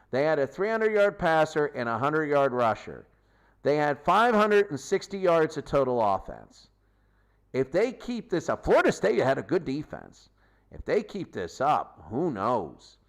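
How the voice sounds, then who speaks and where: Male sports show host, off-the-cuff football recap, lively up-and-down pitch, restarts and lists numbers. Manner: rambling, self-correcting, lists stats. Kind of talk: unscripted football recap commentary.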